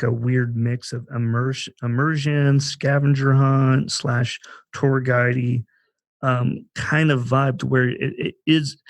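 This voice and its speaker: Man with a creaky, close dry voice. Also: mid-range voice, no room sound. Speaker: podcast co-host. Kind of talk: conversational podcast talk about a theater project.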